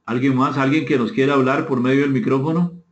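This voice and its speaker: A man's voice: medium pitch, steady tone, a little fast. A man with a Costa Rican accent leading an online seminar, courteously inviting participation and repeating phrases as he reads out and answers comments. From Costa Rica. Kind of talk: interactive webinar talk, reading out chat comments. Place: indoor room, online session. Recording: echoey room, muffled dull audio.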